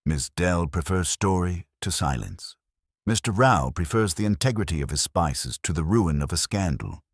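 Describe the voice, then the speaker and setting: An imperious older detective acted out by a male narrator in an audiobook mystery. He speaks in a very deep, husky voice, formal and measured, as if every sentence were a ruling. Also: animated, no echo, clean studio sound, heavily acted. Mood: coolly probing. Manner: formal, measured, pronouncing judgments.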